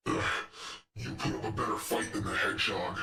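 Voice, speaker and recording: A man's voice: on the low side, fairly quick, gravelly, sharp tone, recorded from a distance. A man voicing a menacing, arrogant robot henchman in a video game, fiery and sadistic, with taunting, condescending lines. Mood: smug, taunting. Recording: echoey room, off the microphone.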